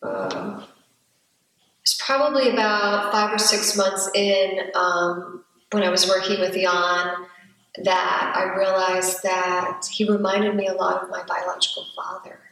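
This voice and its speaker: A woman speaking hesitantly with many pauses and fillers as she reflects on her work with a client. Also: fairly low pitch, flat delivery, fairly bright tone, recorded from a distance. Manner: slow, hesitant, many ums. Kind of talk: reflective interview answer. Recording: echoey room, off-mic.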